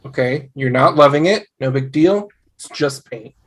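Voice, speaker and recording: A man playing an eager, devoted young husband in a sitcom table read, earnest and excitable. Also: medium pitch, moderate pace, close to an everyday voice. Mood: eager, reassuring.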